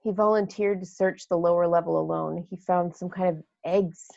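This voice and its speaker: A woman playing a shaken, accusing spaceship navigator with a creaky voice in an amateur table read. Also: lowish voice, steady tone, normal speed, dark tone, no echo, dull audio. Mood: grim, shaken.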